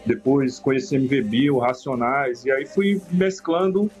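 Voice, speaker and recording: A man's voice: mid-range voice, a little slow, darkish tone, dull audio. Brief: man, rapper guest, reflective, tags "né?", faint music bed. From Salvador, Bahia, Brazil. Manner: reflective, frequent "né?" tags, searching restarts. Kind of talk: relaxed conversational interview answers.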